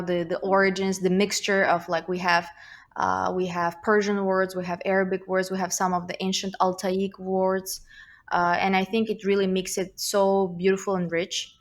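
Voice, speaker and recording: A woman's voice: mid-range voice, level tone, measured pace. A young woman with a slight Russian accent, a guest talking thoughtfully in a casual interview, with many fillers and restarts. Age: young adult.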